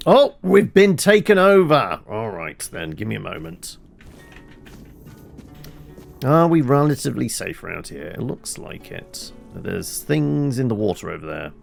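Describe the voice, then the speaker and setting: A man's voice: on the low side, very animated, unhurried, gravelly, a little mellow, faint music behind. A man giving wry, rambling casual commentary as he plays a game, thinking aloud. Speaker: game streamer.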